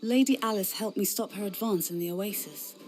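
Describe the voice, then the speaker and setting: A woman's voice: medium pitch, fairly quick, darkish tone, lightly acted. A woman playing a dutiful young rookie soldier in a video game cutscene, earnest and deferential. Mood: grave.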